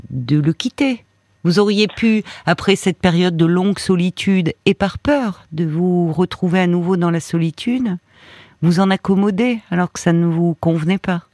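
A woman hosts a late-night call-in advice show, asking soft, searching questions and echoing her caller's words back to her. Her voice sits close and dry on the studio mic, with no room around it. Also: fairly low pitch. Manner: gentle probing questions, rephrasing the caller's words. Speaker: radio host.

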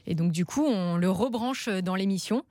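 A woman's voice: mid-range voice, normal speed, warm tone, dry recording, studio quality. A woman from a radio show's team, chatting casually and hesitantly with many fillers. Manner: hesitant, lots of fillers, agreeing short replies. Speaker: production team member. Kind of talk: casual chat.

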